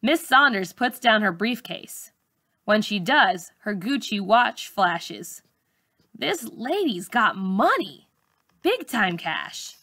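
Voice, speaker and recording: A woman teacher reading a first-person story aloud to schoolchildren in an expressive, colloquial storytelling manner. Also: medium pitch, slow pace, no room sound, clean studio sound.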